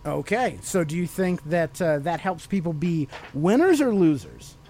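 An American man hosts a casual radio-style podcast. His delivery is lively, rising and falling widely in pitch. He moves informally between chatting and reading aloud. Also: a little slow, a little hiss or hum. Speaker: podcast host.

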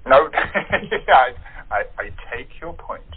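Wry, husky man on a tinny phone. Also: medium pitch, big pitch swings, slow. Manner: wry, wordy, slightly formal. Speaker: actor, show guest. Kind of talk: casual bantering chat.

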